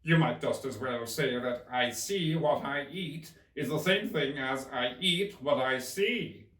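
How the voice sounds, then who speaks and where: A man voicing a contrary, eccentric storybook Hatter who grumbles with slow emphasis. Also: mid-range voice, a bit bright, reverberant, recorded from a distance, voice pushed up, close to an everyday voice. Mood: blunt, contrary.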